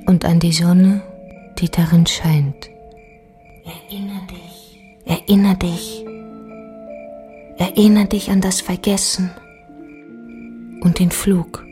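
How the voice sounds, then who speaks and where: A woman playing a mysterious, insistent summoner of memories in a poetic radio drama, hushed and incantatory, often whispering. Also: lowish voice, fairly even intonation, slow, long pauses, soft background music. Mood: incantatory, beckoning.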